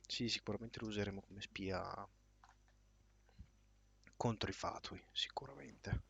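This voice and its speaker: A man giving casual live commentary as a game streamer, muttering offhand in a husky, creaky voice. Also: lowish voice, moderate pace, a bit bright, muffled recording, a little hiss or hum. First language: Italian.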